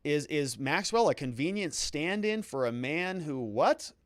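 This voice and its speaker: A man's voice: on the high side, lively intonation, a little slow, dry recording. A man hosting a legal commentary show, recapping a trial and reading quotes aloud with emphatic, theatrical stresses.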